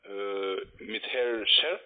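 A man with a foreign accent, a non-native speaker, makes a sales call in halting, limited phrases. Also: fairly low pitch, clear, resonant tone. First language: not German. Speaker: cold-calling trading salesman. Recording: muffled, tinny phone line.

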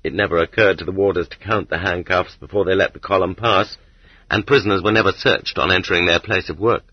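A man narrates a nonfiction audiobook in a very low voice. His tone has a husky grain. The recording is dry, with the dulled, lo-fi sound of a cassette transfer. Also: steady tone, a little fast, dry recording. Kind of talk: scripted narration of a nonfiction book.

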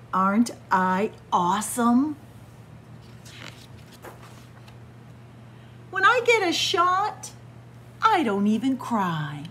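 A woman's voice: mid-range voice, fairly bright tone, slight background noise. A woman voicing a boastful shark from a picture book, bragging and exclamatory. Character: boastful shark, jumpy about spiders. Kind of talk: picture-book read-aloud. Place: indoors in a library.

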